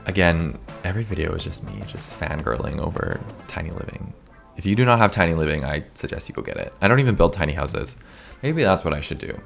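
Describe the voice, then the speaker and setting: A young man talks through a voice-over in a very deep, gravelly voice with a crackly fry. He is a little hoarse, as if losing his voice, and rambles along casually, dropping "like" into nearly every thought. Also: expressive, quick pace, a bit bright, soft background music. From Canada. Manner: chatty, rambling, says "like" often. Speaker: video game builder, channel host.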